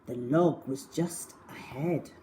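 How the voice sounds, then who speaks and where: A woman narrating a children's story in an expressive, scripted read-aloud style. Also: rumbling low, takes it slowly.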